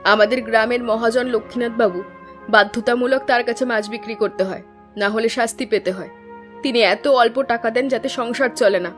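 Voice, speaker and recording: A woman's voice: on the high side, steady tone, moderate pace, a bit bright, soft background music, close to an everyday voice. A woman playing an irritable, fed-up young village wife in a cartoon folk tale, speaking in a curt, complaining way. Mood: bitter, complaining. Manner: impatient, complaining. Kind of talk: Bengali animated folk-tale dialogue.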